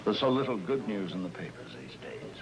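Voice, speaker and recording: An actor playing a restrained, dignified judge and betrayed husband, speaking in a measured, polite way in an old-time drama. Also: male voice, deep voice, big pitch swings, a crowd in the background. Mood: polite, subdued. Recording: noisy old drama recording.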